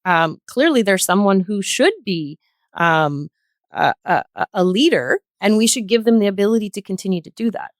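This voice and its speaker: A woman speaking as a guest in an earnest discussion, choosing words in a measured, deliberate way. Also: mid-range voice, animated, no echo, clean studio sound. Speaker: podcast guest, healthcare worker.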